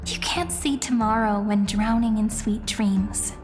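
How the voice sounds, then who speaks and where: A woman playing a gentle, earnest young guide in a video game, explaining things in a measured way. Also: medium pitch, steady tone, natural voice. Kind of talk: video game story dialogue. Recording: background music under the voice.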